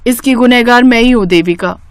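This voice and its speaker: A woman's voice: a little high, brisk, no room sound, studio quality, natural voice. A woman voicing an overworked young housewife in a family cartoon, plaintive and complaining. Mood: fed up.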